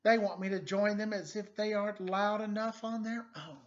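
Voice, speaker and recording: A man voicing a brash, excitable exclamation mark from a children's storybook, emphatic and secretly craving quiet. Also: high voice, moderate pace, voice pushed up, natural voice. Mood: exasperated, loud. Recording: dull lo-fi sound.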